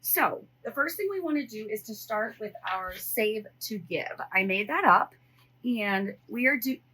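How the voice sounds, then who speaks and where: A woman's voice: fairly high pitch, lively intonation, measured pace, pushed and bright, slightly rough, rough recording. A middle-aged American woman chatting casually and unscripted to viewers as a budgeting vlogger, thinking aloud in her home office. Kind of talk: casual chat to viewers while counting cash.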